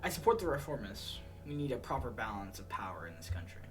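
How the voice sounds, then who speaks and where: A man's voice: on the low side, big pitch swings, fast. A man playing a deliberating, reform-minded president in a political game, with hesitant starts to his statements. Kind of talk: role-played political game dialogue. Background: prominent music.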